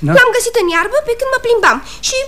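A very high, girlish voice of a playful young woman in love. She is arch and quick-witted, teasing her way through lines in an expressive classic radio comedy style. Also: noisy background. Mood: flustered, evasive.

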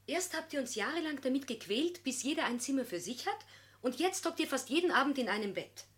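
A woman's voice: high voice, expressive. An actress playing an exasperated, scolding middle-aged mother in a German radio play. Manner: nagging, brisk commands. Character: exasperated, scolding mother of two grown children.

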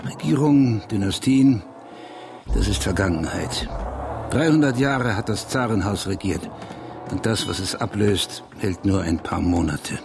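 An actor playing a bitter, fearful, educated conservative doomsayer in a German radio drama, with a sardonic, rhetorical delivery. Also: male voice, on the low side, a little slow. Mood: bitter mockery. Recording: clearly noisy background ambience.

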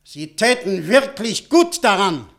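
An actor in a German radio play playing an imperious, suspicious official who presses and threatens insistently. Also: male voice, high-pitched, sing-song, takes it slowly, creaky, exaggerated voice. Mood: menacing. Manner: insistent, forceful, theatrical delivery.

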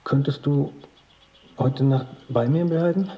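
A man's voice: mid-range voice, takes it slowly, slightly rough. A man playing an anxious, self-pitying hypochondriac office worker, earnest and hesitant, in a naturalistic radio drama. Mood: awkward, pleading. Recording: roomy, slightly dull sound.

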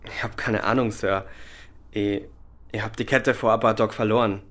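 A man acting an evasive young suspect in a German radio crime drama, deferential, halting and guarded as he answers. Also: lowish voice, fairly even intonation, fairly bright tone, natural voice.

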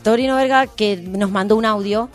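A woman's voice: mid-range voice, moderate pace, faint music behind. An Argentine woman hosting a casual live radio show, talking in a playful, informal way.

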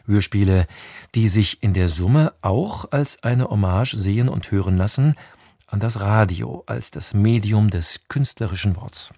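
A man presenting on radio, reading a scripted introduction in a measured, formal way. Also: fairly low pitch, dark tone, no room sound, clean studio sound. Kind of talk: scripted narration.

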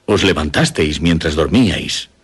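An older Castilian gentleman, a father and master of a castle in a radio play. His voice is very low and husky, with lively swoops. He explains things formally and deliberately, always courteous and reassuring. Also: exaggerated voice. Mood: explanatory. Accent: Castilian Spanish. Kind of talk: radio drama acting.

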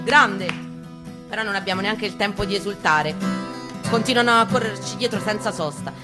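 A woman gives a dramatic first-person story reading, theatrical in delivery, moving between urgent and hushed phrasing. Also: fairly high pitch. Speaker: performer reading a story at a live reading. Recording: acoustic guitar playing behind the voice. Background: plucked guitar accompaniment.

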